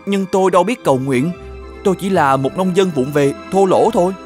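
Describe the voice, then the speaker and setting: An actor playing a good-natured, simple peasant farmer in an animated fairy tale, earnest and polite. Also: male voice, sits high, fairly quick, lightly acted. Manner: earnest, polite, slightly naive. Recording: music behind the voice.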